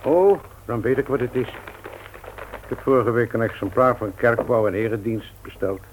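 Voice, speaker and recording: An actor playing a stubborn, proud middle-aged bookseller of the old school, pedantic and self-important, in a Dutch radio play. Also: male voice, mid-range voice, normal speed, slight background noise, lightly acted, poor audio. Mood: matter-of-fact.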